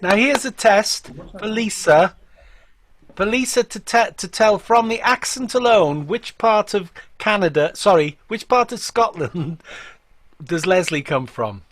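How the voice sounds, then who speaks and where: A man's voice: high voice, animated, measured pace, a bit bright, no echo. A man in casual banter on a video call, with a jokey, teasing way of talking. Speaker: folk club member.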